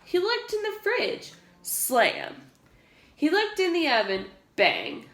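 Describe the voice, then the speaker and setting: A woman's voice: sits high, wildly swooping pitch, slow, fairly bright tone, echoey room. A woman reading a children's storybook aloud like a preschool teacher, acting out the sound-effect words with emphasis.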